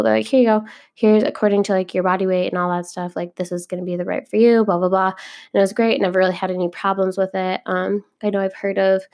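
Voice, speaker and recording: A woman's voice: mid-range voice, gravelly, a little mellow. A young woman hosting a podcast, talking casually and chattily with lots of likes and ums, a bit stuffy from a cold. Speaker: podcast host. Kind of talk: casual monologue.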